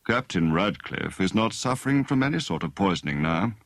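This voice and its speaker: A man voicing a kind, worried elderly doctor in an audiobook, speaking in a formal, deliberate, clinical way. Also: sits low, sing-song, no echo. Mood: grave, measured.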